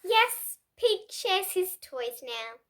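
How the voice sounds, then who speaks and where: A child reading a picture book aloud in an expressive, halting way, with asides to young listeners. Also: extremely high pitch. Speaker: child reader, host of a kids' book channel.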